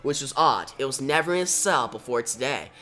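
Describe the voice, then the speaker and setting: A man's voice: mid-range voice, animated, brisk, pushed and bright. A man voicing a callous prison doctor with a formal, sardonic manner in a comic-book story retelling. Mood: smug, callous. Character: callous, smugly polite male prison doctor.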